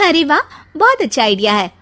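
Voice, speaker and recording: A woman's voice: high-pitched, very animated, normal speed, pushed and bright, pitched above the performer's own voice, heavily acted. A woman voicing a cheeky, overconfident young daughter-in-law in a cartoon story, full of exclamations. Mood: eager, confident. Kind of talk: cartoon moral story voice acting.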